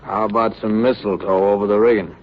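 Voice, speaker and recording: An actor playing a wry, needling shipmate with a husky voice in 1930s radio drama style. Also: male voice, lowish voice, fairly even intonation, brisk, natural voice. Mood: mocking. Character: joking shipmate, wry needler with a sentimental side. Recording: dull 1930s radio recording.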